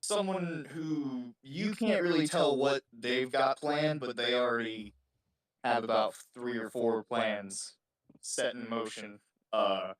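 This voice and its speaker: A man answering interview questions casually, explaining himself in measured short phrases. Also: medium pitch, poor audio. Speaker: interviewed voice actor.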